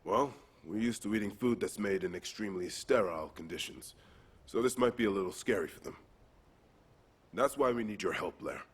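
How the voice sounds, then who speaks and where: An actor playing a calm, persuasive male outpost leader in a video game, explaining things in a measured way. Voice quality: deep, husky.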